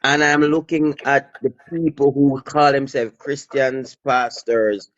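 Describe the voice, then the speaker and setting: A man's voice: medium pitch, fairly even intonation, slow pace, highs cut off. A man with a Jamaican accent, a pastor calling in, speaking earnestly and emphatically. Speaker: caller, pastor. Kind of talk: call-in conversation.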